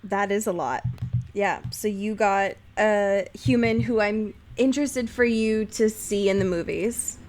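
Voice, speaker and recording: A woman's voice: mid-range voice, a little slow, darkish tone. A young woman co-hosting a podcast in casual banter, giggly and a little self-deprecating. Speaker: podcast co-host.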